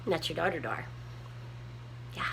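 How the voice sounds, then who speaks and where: A woman with a small, soft voice, chatting casually to her viewers from home with lots of ums while she tidies up. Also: deep voice, lively intonation, moderate pace, vocal fry, background noise. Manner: rambling, many ums, homespun talk. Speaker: prepping vlogger. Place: home room, tidying a table.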